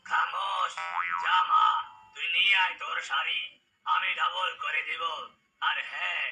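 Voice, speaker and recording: A man's voice: a little high, fairly even intonation, a little slow, sharp tone, distant mic, full character voice. A man voicing a domineering, sly fake holy man in a cartoon, commanding and pompous. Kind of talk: cartoon character dialogue.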